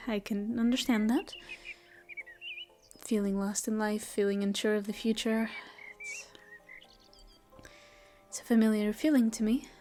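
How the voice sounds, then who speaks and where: A woman voicing a gentle, caring female elf ranger in an audio roleplay, speaking softly and often in a whisper. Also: medium pitch, wildly swooping pitch, slow pace, long pauses, soft background music. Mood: soothing. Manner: soft, soothing, often whispered. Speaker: voice actress. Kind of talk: audio roleplay, comfort ASMR.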